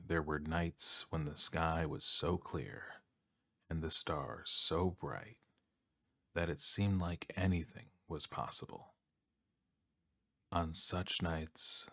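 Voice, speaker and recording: Male ASMR storyteller, low-pitched and soft-spoken, calm bedtime read at a very slow pace. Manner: calm, unhurried, soothing, with long pauses between phrases. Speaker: storyteller, narrator.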